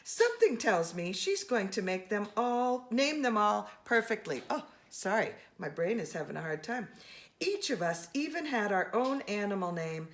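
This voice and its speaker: A woman reading a children's storybook aloud in an expressive, animated way, speaking to young listeners. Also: mid-range voice. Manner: expressive, with gasps and brief asides to listeners.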